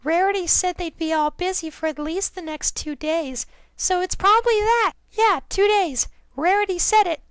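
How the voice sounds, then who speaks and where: A man puts on an extremely high-pitched little filly, cheerful and curious. She chirps in a childlike way, like an eager young student in a storybook tale. The sound is dry and close. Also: normal speed, few pauses, a bit bright, no room sound. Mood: chipper, curious.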